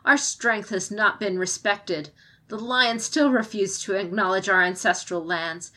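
A woman reading the lines of an indignant, passionate female advisor in an audiobook, rising in loudness as she argues. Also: mid-range voice, unhurried. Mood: impassioned.

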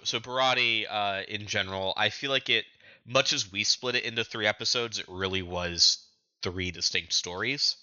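Deep-voiced man, very bright and forceful. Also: smooth, dry recording, highs cut off. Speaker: podcast co-host. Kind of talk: casual chat recapping manga chapters.

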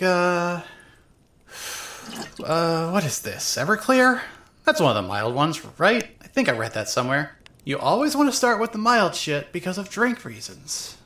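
Woman acting a tipsy, swearing young woman, very animated. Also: deep voice. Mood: tipsy, rambling. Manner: rambling, drunken hesitations, sarcastic swearing. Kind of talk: first-person dramatic narration of a fan audio drama.

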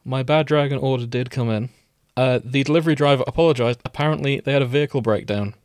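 A man's voice: mid-range voice, fast talker, a little mellow, no room sound, clean studio sound. A man hosting a podcast, bantering casually with friends. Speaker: podcast host.

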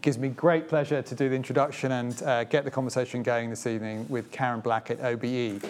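A man's voice: mid-range voice, little pitch movement, quick pace, a little hiss or hum. Man hosting a museum evening talk. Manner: formal, reads from notes, gently humorous. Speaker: host of a talk event. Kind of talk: event introduction and interview. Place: museum event space before an audience.

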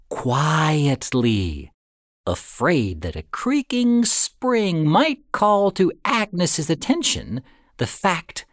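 A man narrating a story in a deliberate, dramatic way, with expressive phrasing and suspenseful pauses. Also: mid-range voice, no echo, studio quality. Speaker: audiobook narrator.

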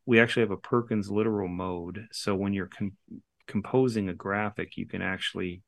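A man's voice: fairly low pitch, steady tone, normal speed, gravelly, dry recording, studio quality. A man, a company founder, patiently explaining how a braille device works, step by step, on a call-in show.

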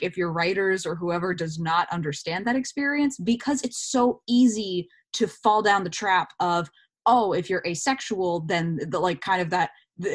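A woman actor speaking as an interview guest, explaining her thoughts in a casual, reflective way. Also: on the low side.

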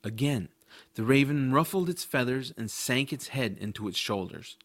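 Guy playing curious adventurous boy narrating his own story, earnest n dramatic audiobook style, mid pitch, dry booth sound. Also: lively intonation, moderate pace, no echo. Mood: suspenseful. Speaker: narrator and lead character. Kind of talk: expressive first-person audiobook narration.

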